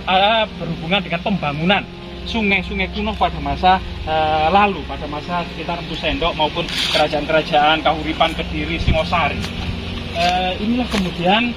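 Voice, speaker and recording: A man's voice: fairly high pitch, moderate pace, background noise. A man giving unscripted explanations of an old site as a guide, often repeating his phrases. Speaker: host and guide explaining ancient sites. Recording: loud music behind the voice. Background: loud music.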